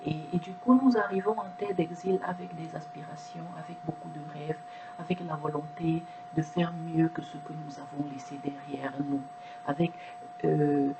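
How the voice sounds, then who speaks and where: Brief: woman, non-native French speaker, low pitch, earnest reflective delivery with restarts; noticeable background hum. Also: steady tone, moderate pace. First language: not French. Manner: earnest, many restarts and repeated words. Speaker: video presenter. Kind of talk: reflective monologue to camera.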